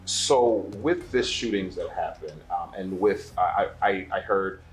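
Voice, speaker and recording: A man working as an interviewer in a casual style, halting and repeating words as he forms his questions. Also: low-pitched, low-quality recording.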